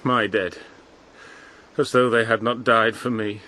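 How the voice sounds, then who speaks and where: A man's voice: on the low side, level tone, measured pace, pushed and bright. An actor playing a dying, grief-stricken noble king in a solemn, stately verse radio drama. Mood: anguished, bewildered.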